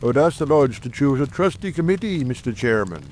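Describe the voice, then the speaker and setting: A man's voice: medium pitch, very animated, brisk, darkish tone, lightly acted. Earnest conspirator played by a man, noisy backdrop. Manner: earnest, persuasive, formal speechmaking. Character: earnest, shrewd, confident lodge brother in coal-town secret society. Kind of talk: audiobook dramatic reading.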